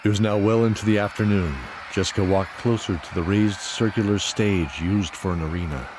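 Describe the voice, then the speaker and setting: A man narrating a scripted fantasy audio drama in a measured, descriptive storytelling manner. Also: lowish voice, noisy background. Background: music.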